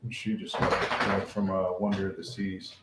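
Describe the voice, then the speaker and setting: Easygoing low-voiced man, echoey room. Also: level tone, moderate pace. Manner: informal, easygoing. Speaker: live stream co-host. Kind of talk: casual chat with live viewers. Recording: echoey room, faint background noise.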